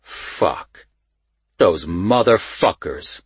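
A man acts out a gruff Baltimore police sergeant in an audiobook. He is blunt and foul-mouthed, with little patience. The voice is slightly husky and recorded close and dry. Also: deep voice, animated, drawn-out words, no room sound, full character voice. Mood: angry, bitter. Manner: profane, blunt, impatient. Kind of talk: audiobook character dialogue.

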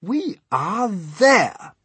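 A man's voice: sits high, big pitch swings, extremely slow, bright, forceful tone, slightly rough, no echo, voice pushed up, heavily acted. A man voicing a kindly old male grasshopper, hopeful and reassuring, in a children's storybook reading. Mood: forced cheer. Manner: encouraging, hopeful.

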